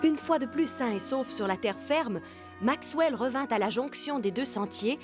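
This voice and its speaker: A woman's voice: sits high, animated, normal speed. A woman giving scripted storybook narration for a children's cartoon. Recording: loud music under the voice.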